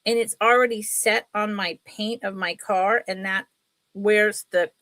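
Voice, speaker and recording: A middle-aged woman hosts a casual livestream sale in a bright, forward voice with a sniffly, congested nose. She talks at an unhurried pace and rambles into chatty asides. The sound is close and dry. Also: medium pitch, steady tone, no echo.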